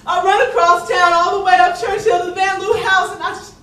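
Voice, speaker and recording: A woman's voice: extremely high pitch, steady tone, fairly quick, sharp tone. A woman playing a frightened, spirited enslaved young spy of the Civil War, telling her story theatrically in breathless, stammering exclamations. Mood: panicked, breathless. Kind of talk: theatrical stage monologue, narrating and reliving events. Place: theatre stage, miked from a distance. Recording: roomy distant stage capture, faint noise.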